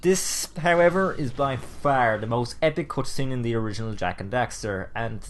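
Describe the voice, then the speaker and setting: A man's voice: medium pitch, moderate pace, a little hiss or hum. A man giving scripted but informal, enthusiastic commentary as the presenter of a top ten video.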